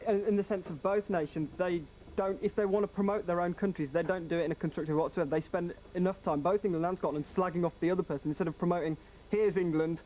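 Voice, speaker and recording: A man's voice: high voice, fairly even intonation, quick pace, warm tone, slight background noise, rough recording. A man in the studio audience arguing his point and repeating it. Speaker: audience member.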